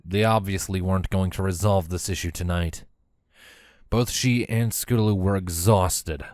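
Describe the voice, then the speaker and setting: A man's voice: deep voice, fairly even intonation, moderate pace, a little mellow, dry recording, clean studio sound. A man reading a fan fiction story aloud as scripted third-person narration.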